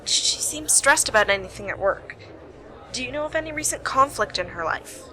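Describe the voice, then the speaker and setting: A woman's voice: a little high, animated, moderate pace, sharp tone, poor audio. A woman playing a wry, weary young private detective in an amateur radio play, sardonic and businesslike. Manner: sardonic, exasperated sighs.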